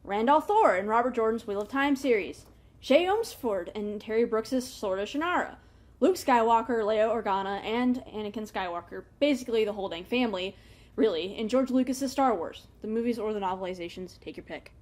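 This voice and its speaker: Female book review host, somewhat high voice, casual and chatty, wry asides, animated emphasis. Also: brisk.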